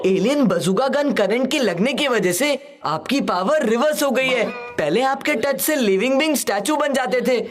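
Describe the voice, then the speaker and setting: A woman's voice: fairly high pitch, expressive, moderate pace. A woman voicing a sensible young alien child in a cartoon, explaining and cautioning. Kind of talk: Hindi animated cartoon dubbing. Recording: music behind the voice. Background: cartoon music.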